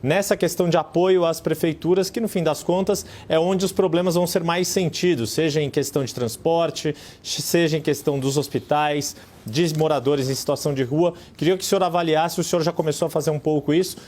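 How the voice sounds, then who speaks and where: Fast-talking man news reporter. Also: fairly high pitch, fairly even intonation, a little mellow. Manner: long, polite, detailed questions. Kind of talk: live news interview question.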